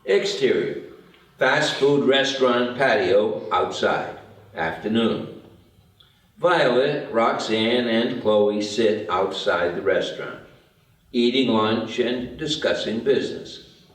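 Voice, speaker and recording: Slow male script narrator, very echoey room. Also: medium pitch. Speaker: stage directions narrator. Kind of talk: scripted narration at a table read. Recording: very echoey room, distant microphone.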